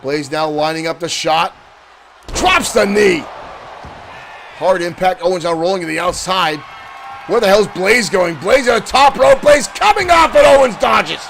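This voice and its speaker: A man doing excited play-by-play commentary for a digital wrestling show, shouting at big moments and losing his voice. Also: high-pitched, measured pace, creaky, crowd noise, background noise.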